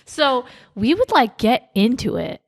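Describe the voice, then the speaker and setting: A young woman co-hosting a podcast, soft-spoken and quiet, chatting casually with lots of fillers. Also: a little high, big pitch swings, measured pace, breathy edge, no room sound, studio quality. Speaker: podcast co-host. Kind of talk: casual chat.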